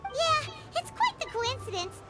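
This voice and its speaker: A woman voicing a tiny, chirpy, excitable childlike fairy companion in a video game, squeaky and full of exclamations. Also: a little slow, full character voice. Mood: chatty, cheerful. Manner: chirpy, exclamatory, speaks of self in third person. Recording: loud children's music behind. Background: playful game music.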